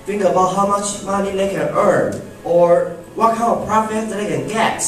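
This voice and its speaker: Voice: mid-range voice, steady tone, normal speed, a bit bright, natural voice. An amateur radio drama performer playing a kind, earnest male alien who runs a small cafe. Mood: earnest pleading. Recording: echoey hall from far off, music behind.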